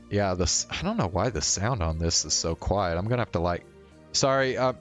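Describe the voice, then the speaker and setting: Slow, deep-voiced man streaming. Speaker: streamer, host. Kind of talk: casual game stream chat and read-aloud narration of a visual novel. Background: faint game music.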